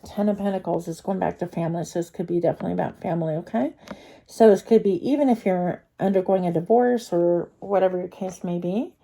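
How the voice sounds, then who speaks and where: A woman's voice: fairly low pitch, quick pace, creaky, warm tone. A woman with a cold and a congested voice, giving a casual, chatty tarot reading to her viewers with frequent fillers and restarts. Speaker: tarot card reader.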